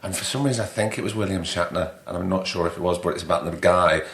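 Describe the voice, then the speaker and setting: A man's voice: sits low, quick pace, a bit bright, echoey room. A man hosting a podcast, chatting casually as he interviews a guest. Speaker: podcast host.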